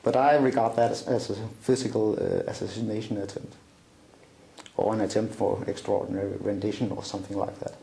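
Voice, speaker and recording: Gravelly Danish-accented man, halting. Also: on the low side, steady tone, mellow, echoey room, slight background noise, rough recording. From Denmark. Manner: halting, many fillers and restarts. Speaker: interview guest. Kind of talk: recounting a personal story in an interview. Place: small room indoors, seated at a table.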